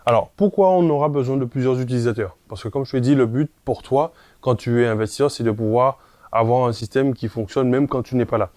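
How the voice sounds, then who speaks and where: A man's voice: mid-range voice, fast, darkish tone, no room sound. A man presenting an informal tutorial, explaining step by step, speaking directly to the viewer and often checking they follow. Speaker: presenter of a property investment tutorial.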